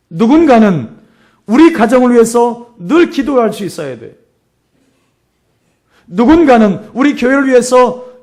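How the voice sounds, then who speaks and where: A middle-aged man with a hoarse voice preaching a sermon as a pastor, telling stories and exhorting with emphatic repetition. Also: very high voice, darkish tone.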